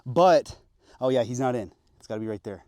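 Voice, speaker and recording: A man's voice: medium pitch, expressive, a little fast, darkish tone, no echo. A young man hosting an archery vlog outdoors on public land, hyped and slangy, talking to the camera. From Pacific Northwest of the US. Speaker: vlogger. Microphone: action camera.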